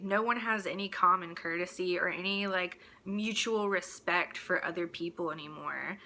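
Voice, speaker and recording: A woman's voice: lowish voice, sharp tone. A woman in a casual, opinionated rant, speaking in a blunt, crude and exasperated manner with mocking asides. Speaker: host delivering a personal rant.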